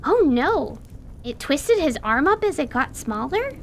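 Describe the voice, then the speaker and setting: Radio-play casting: woman as shrewd, blunt little girl, very high voice, lively swings in pitch, inquisitive. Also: measured pace, a little hiss or hum, full character voice. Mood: curious. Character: curious, shrewd young girl, one of two sisters. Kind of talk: audio drama acting of a tabletop campaign.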